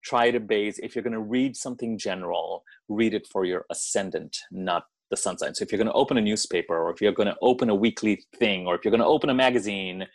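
A man from India, a podcast guest explaining astrology in a measured, wry way. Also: fairly low pitch, gravelly, no room sound. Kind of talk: casual podcast interview.

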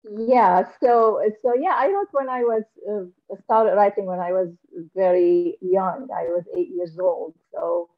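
Soft-spoken woman from Syria, lo-fi audio. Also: medium pitch, a little slow. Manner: soft-spoken and thoughtful, with many fillers and restarts. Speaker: guest author. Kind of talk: casual interview chat. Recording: lo-fi remote interview audio.

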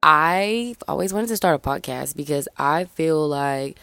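A woman's voice: low-pitched, expressive, a little slow, creaky, bright, forceful tone, no room sound, studio quality. A young woman from Memphis with a faded Southern accent, chatting casually and rambling as she introduces her solo podcast. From Memphis, Tennessee, USA. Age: young adult. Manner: chatty, rambling, addresses listeners as y'all. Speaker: podcast host.

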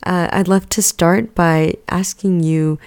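A woman's voice: on the low side, fairly even intonation, takes it slowly, a little mellow, clear, resonant tone, dry recording. A woman hosting a podcast, reading a guest introduction and then eagerly asking questions. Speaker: podcast host.

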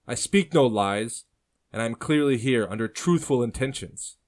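A man's voice: mid-range voice, expressive, moderate pace, voice pushed up, lightly acted. A man doing a firm, unfazed young man. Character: calm, firm young man speaking truthfully.

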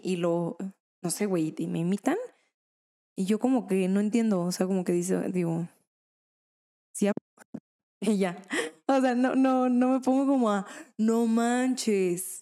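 Mellow young woman chatting. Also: mid-range voice. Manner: casual, slangy, hesitant. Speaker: podcast co-host. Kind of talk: casual chat.

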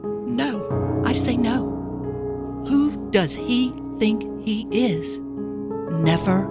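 Slow, deliberate woman on morning radio. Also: on the high side, animated. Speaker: radio morning show crew member. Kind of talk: casual group banter and mock-dramatic review reading. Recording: loud music bed underneath. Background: loud music.

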